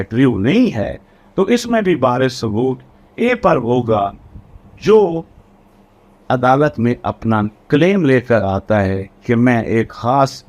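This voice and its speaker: Slow-paced Pakistani male law lecturer. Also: medium pitch, darkish tone. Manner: didactic, repeats and rephrases key points. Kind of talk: explanatory law lecture mixing Urdu and English.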